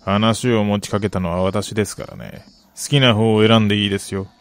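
An actor playing a middle-aged, wistful gambling soccer fan in a radio drama, polite and measured with a rueful, quietly menacing edge. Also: male voice, fairly low pitch, fairly even intonation, close to an everyday voice. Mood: quietly challenging. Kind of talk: Japanese radio drama acting.

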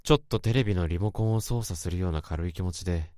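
An actor playing a male office worker who can hear thoughts, narrating a radio drama in a measured, introspective way. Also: low voice, warm tone, no room sound, close to an everyday voice. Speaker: narrator and lead character.

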